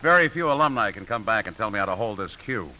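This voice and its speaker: An actor playing a sardonic, breezy ex-coach turned pool hustler in a 1950s radio drama. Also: male voice, fairly low pitch, animated, fast, natural voice. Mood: bitter, sardonic. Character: cynical, wisecracking washed-up football coach turned pool hustler. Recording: muffled, hissy old 1950s radio recording.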